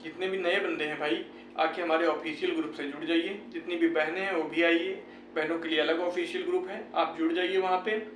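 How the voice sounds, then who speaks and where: An earnest man with a north Indian accent from Uttar Pradesh speaks in a high-pitched voice, informally explaining an update to viewers. He repeats phrases to press his points. The recording is roomy and echoing, picked up at some distance from the mic, with music playing behind him. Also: fairly even intonation, a little fast, a bit bright. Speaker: YouTube host giving exam recruitment updates.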